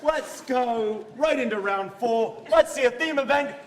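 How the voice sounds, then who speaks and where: Very high-pitched energetic male host. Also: measured pace. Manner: energetic, shouting announcements and counting down. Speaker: host of a live improv competition. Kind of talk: live announcing. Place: large theatre hall. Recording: live hall with a loud crowd.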